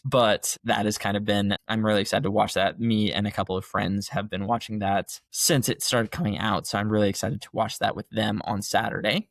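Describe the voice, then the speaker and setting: A young man hosts his podcast alone, talking in an excited, rambling way about things he loves. His voice is low, gravelly and a bit hoarse, as if he is still recovering from being sick. The sound is dry and close. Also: dry recording. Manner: enthusiastic, rambling, fan gushing. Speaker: podcast host. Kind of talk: casual solo podcast chat.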